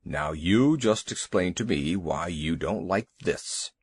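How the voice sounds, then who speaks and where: A man voicing a huge, timid male elephant who talks in a slow, deep, grumbling way. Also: expressive, breathy edge, heavily acted. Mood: angry.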